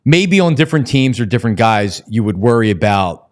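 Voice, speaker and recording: A man with a mid-pitched voice hosts a casual sports talk show. He sounds eager and often repeats words as he gets his thought out. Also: big pitch swings, fairly quick. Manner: eager, stammering repetitions of words.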